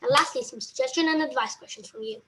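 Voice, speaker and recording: A woman's voice: high-pitched, fast, a bit bright. A young woman running an interview, reading prepared questions politely and thanking the speaker often.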